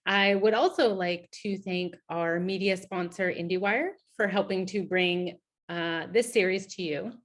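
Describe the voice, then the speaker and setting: A woman opens a screening event with friendly welcome announcements. Her voice sits at a middle pitch, with small fillers between her lines. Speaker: event host. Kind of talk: scripted welcome remarks.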